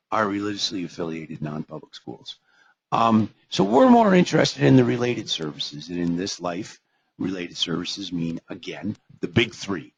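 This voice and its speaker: A man's voice: fairly low pitch, creaky, breathy edge, no room sound. An older man presenting a live webinar on special education, folksy and digressive, with rhetorical questions and many fillers, and he is losing his voice. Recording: dull, lo-fi webinar audio.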